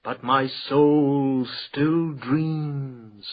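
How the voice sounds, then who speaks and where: A man's voice: medium pitch, fairly even intonation, extremely slow, lo-fi sound. A man playing a vain, courtly, flirtatious poet in a radio drama, florid and pompous, savoring his words theatrically. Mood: declaiming, yearning.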